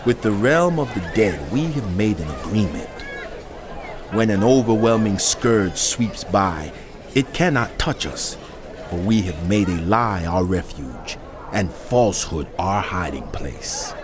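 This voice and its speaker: An actor playing an arrogant, defiant scoffing ruler in a dramatized Bible reading, boastful and declamatory. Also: male voice, fairly low pitch, expressive, measured pace. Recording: noisy crowd behind the voice.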